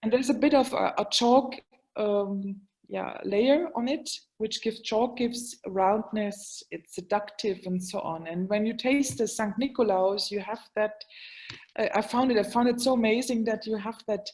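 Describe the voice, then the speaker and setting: A woman's voice: medium pitch, measured pace, darkish tone, rough recording. Woman talking english in an interview, lots of ums, hunting for words. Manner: hesitant, many fillers, searching for words. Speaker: guest, wine expert. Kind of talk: casual interview talk.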